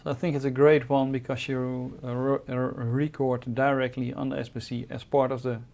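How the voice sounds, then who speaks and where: A man's voice: medium pitch, flat delivery, moderate pace, vocal fry, darkish tone. A man co-presenting, giving a conversational technical explanation.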